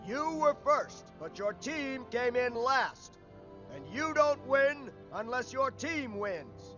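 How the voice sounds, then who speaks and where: Cartoon military instructor: stern, clipped, authoritative orders over loud music. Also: male voice, very high-pitched, animated, slow pace, exaggerated voice. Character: stern, commanding male military drill instructor of young trainees. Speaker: drill instructor. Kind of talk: scripted military orders in an animated series. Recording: dull sound under loud music.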